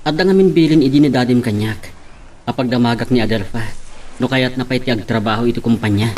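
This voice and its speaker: A man's voice: mid-range voice, fast talker, darkish tone, lightly acted. A man with a Filipino accent playing a frank, matter-of-fact company man in an audio drama, talking conversationally.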